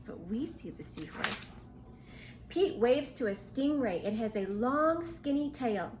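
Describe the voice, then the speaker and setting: A woman reading a picture book aloud to young children in an expressive, questioning way. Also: on the high side, a little slow, noisy background. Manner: expressive, asks the listener questions. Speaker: children's storybook reader.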